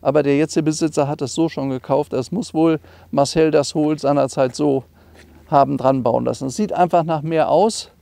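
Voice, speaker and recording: Middle-aged man, high-pitched creaky voice. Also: steady tone, normal speed, mellow, no echo. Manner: enthusiastic, conversational, explanatory. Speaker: classic car dealer presenting a car. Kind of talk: informal car walkaround presentation.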